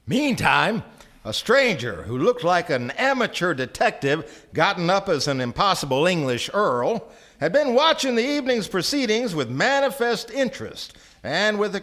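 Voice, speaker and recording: A man's voice: high voice, a bit bright. A man narrating a story theatrically on stage, with precise, declamatory delivery. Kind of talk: theatrical storytelling before a live audience.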